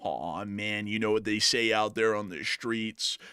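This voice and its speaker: A man voicing a gloomy male fly character who talks slowly and sighs "aw, man" in a low, mumbling voice. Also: bright, forceful tone, clean tone, dry recording, studio quality. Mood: mopey. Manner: slow, mumbling, sighing "aw, man". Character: gloomy, mopey male fly character, a gas station worker.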